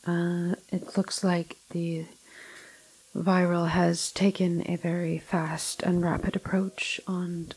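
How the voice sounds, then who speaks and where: A female doctor played by a woman dictates a grim medical log in an ASMR roleplay. Her voice is fairly low and even, with little rise or fall. She speaks very slowly and haltingly, softly slipping toward a whisper, with clinical detachment. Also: breathy edge, full character voice, poor audio. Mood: clinical, observing. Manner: soft, often half-whispered, halting, detached clinical delivery. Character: clinical female doctor logging a doomed quarantined patient.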